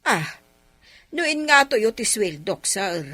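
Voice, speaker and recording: A woman's voice: mid-range voice, very animated, unhurried, bright, forceful tone, dry recording, full character voice. A woman playing a folksy, loyal household nanny in an Ilocano radio drama, exclaiming expressively with an Ilocano accent. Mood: meek, questioning. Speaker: voice actor in radio comedy drama.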